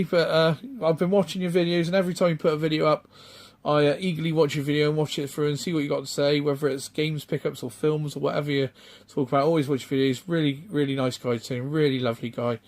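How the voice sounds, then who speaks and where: Rambling man, fairly high voice. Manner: rambling, halting, lots of ums and restarts. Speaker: retro game collector vlogger. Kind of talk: casual off-the-cuff vlog chat.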